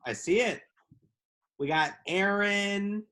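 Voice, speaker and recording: A woman's voice: medium pitch, slow, fairly bright tone. A woman co-hosting a podcast, chatting casually with a dry, teasing manner. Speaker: podcast co-host. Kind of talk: casual chat.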